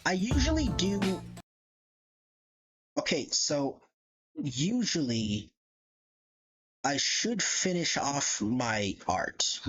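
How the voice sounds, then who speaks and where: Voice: mid-range voice, sing-song, extremely slow, long pauses, a bit bright, faint music behind, rough recording. A live streamer chatting casually in a rambling, giggly way and swearing freely. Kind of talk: casual livestream chat.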